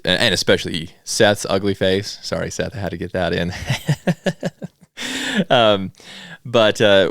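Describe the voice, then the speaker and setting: Casual man podcasting, low smooth voice. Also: no echo, clean studio sound. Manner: informal, conversational, addressing listeners directly. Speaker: podcast host. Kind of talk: casual solo podcast talk to listeners.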